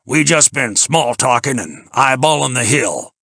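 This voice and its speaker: A man voicing a gruff older American mountaineer in an audiobook, with a folksy drawl and flattened foreign names. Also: medium pitch, unhurried, no echo, clean studio sound, pitched above the performer's own voice, heavily acted. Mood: brisk, dutiful.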